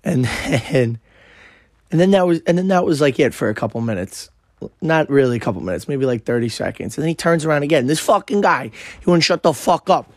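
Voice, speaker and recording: Brash, hoarse young New man. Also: a little high, fairly quick, no echo. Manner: brash, swearing, animated retelling. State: hoarse, voice worn out. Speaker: podcast host. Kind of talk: casual profane storytelling monologue.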